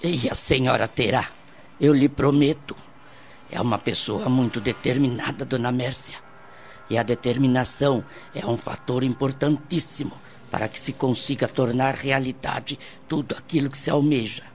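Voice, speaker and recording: Man playing a hoarse, sly old sorceress. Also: medium pitch, steady tone, moderate pace, slight background noise, close to an everyday voice. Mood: assured, persuasive. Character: elderly, sly, obliging female sorceress. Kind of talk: radio soap opera acting. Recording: dull lo-fi audio with music.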